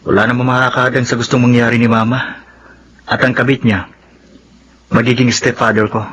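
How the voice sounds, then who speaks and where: A man's voice: medium pitch, little pitch movement, normal speed, fairly bright tone, breathy edge. An actor with a Filipino accent playing a sensible, resigned young man in a radio soap. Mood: resigned, serious. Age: young adult. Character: sober, resigned young son and boyfriend.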